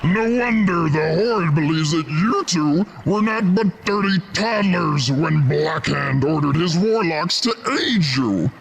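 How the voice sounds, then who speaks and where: Sneering, gravelly two-headed ogre. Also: male voice, a little high, expressive, slight background noise, exaggerated voice, low-quality recording. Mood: mocking. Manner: taunting, drawn-out sneering delivery. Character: two-headed fat ogre, mocking and arrogant.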